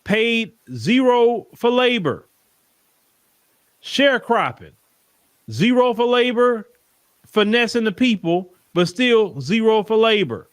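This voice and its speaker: A man's voice: sits high, sing-song, slow pace, pauses often, clear, resonant tone, no echo. A man giving explanatory live commentary in short, emphatic phrases.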